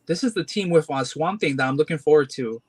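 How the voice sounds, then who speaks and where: Here is a young man in his twenties chatting about his comic collection. He talks in a hesitant, halting way, full of fillers and restarts, and his voice sits a little high for a man. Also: husky. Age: 20s. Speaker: guest collector. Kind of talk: casual chat.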